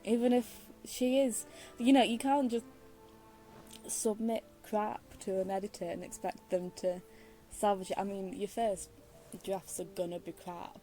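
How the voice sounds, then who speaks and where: Rambling British woman, slow and mellow. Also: mid-range voice, steady tone, faint music behind, rough recording. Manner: rambling, opinionated, wry. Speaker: game streamer. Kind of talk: casual gameplay commentary.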